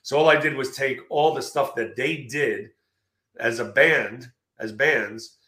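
A man's voice: medium pitch, measured pace, roomy sound. A man in his late fifties, a marketing speaker losing his voice, telling stories energetically in a casual interview. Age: 50s. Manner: energetic storytelling with fillers. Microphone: video call.

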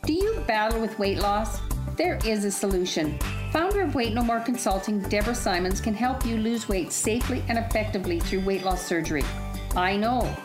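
A woman's voice: medium pitch, lively intonation, brisk. A woman reading a radio advertisement in a friendly testimonial tone. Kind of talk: radio ad voice-over. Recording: music bed behind.

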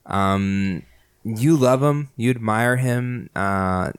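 A man's voice: fairly low pitch, drawn-out words, clean tone, no room sound, studio quality. A man co-hosting a podcast in casual chat, teasing and trolling with jokes. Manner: teasing, joking deadpan trolling. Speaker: podcast co-host.

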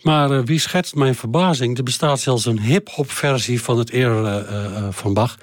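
A man with a Dutch accent presenting a music podcast, informal and hesitant, with many pauses. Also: medium pitch, no room sound, studio quality. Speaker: podcast host. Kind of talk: conversational music podcast narration.

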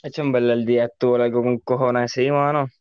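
A man's voice: medium pitch, little pitch movement, fast talker, smooth, dry recording, dull audio. A man of twenty-two from Puerto Rico with a Puerto Rican accent, a podcast guest chatting loosely in slang and swearing a lot. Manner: laid-back, slangy, swears constantly. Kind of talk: casual chat.

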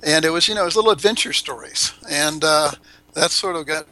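A man's voice: on the high side, a little fast, bright, forceful tone, poor audio. An older man, a podcast guest, chatting casually and bluntly, rambling with restarts and the odd swear. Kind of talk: casual interview chat. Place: Ecuador.

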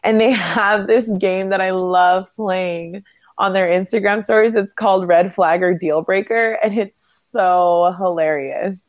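A woman co-hosting a casual podcast chat, with a hoarse, nasal voice. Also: mid-range voice, fairly even intonation, unhurried, dry recording. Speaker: podcast co-host.

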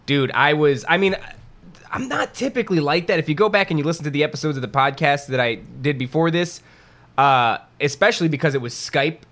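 A man co-hosting a podcast, talking in a chatty, animated, self-deprecating way. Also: a little high, fairly quick. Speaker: podcast co-host. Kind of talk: casual podcast chat.

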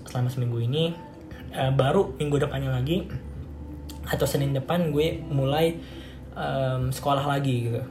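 A teenage boy, hosting a casual solo podcast full of fillers and restarts. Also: mid-range voice. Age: teens. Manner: rambling, many fillers and restarts, laughs often. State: slightly hoarse. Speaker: podcast host. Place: home room with music playing. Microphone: home recording setup. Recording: echoey home room, faint noise.

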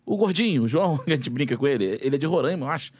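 A man's voice: on the high side, animated, very fast, slightly rough. A man hosting a casual podcast in a studio, chatty and filling his talk with tag questions. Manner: chatty, fills with "né?", laughs easily. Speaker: podcast host.

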